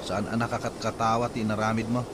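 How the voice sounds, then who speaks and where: A man's voice: lowish voice, flat delivery, fast, close to an everyday voice. A man acting a jealous, accusing young sweetheart in an Ilocano radio comedy drama, with an Ilocano accent. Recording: very noisy outdoor ambience, animal sounds.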